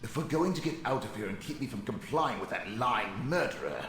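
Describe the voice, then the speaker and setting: An actor playing a haughty, sardonic dead wizard's spirit in a video game, speaking in an imperious, theatrical way. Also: male voice, medium pitch, expressive, brisk, a bit bright. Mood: disdainful. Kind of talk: scripted video game acting. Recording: echoing cavernous reverb, faint noise.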